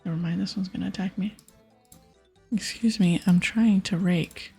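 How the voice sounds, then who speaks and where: A woman speaking softly and breathily as she gives ASMR commentary on a video game she plays. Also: lowish voice, steady tone, moderate pace. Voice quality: breathy, soft. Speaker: ASMR gaming host. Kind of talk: soft-spoken ASMR gameplay commentary. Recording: soft music behind.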